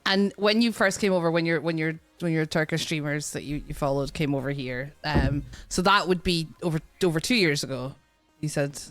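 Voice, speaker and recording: A woman's voice: low voice, brisk, no room sound, faint music behind. A woman hosting a casual podcast interview, asking friendly questions with frequent restarts and laughs. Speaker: podcast host.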